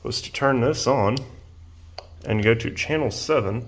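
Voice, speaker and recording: A man's voice: mid-range voice, measured pace. A man giving a casual product demonstration, explaining things in a plain-spoken way as a reviewer. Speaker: presenter reviewing radio gear. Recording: clear background noise.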